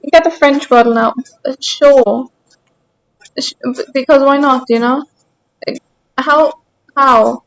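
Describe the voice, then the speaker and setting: A woman's voice: high-pitched, slow pace, long pauses, fairly bright tone, rough recording. A woman from Austria with an Austrian German accent and German as her first language, chatting casually with her stream chat while she plays, self-deprecating.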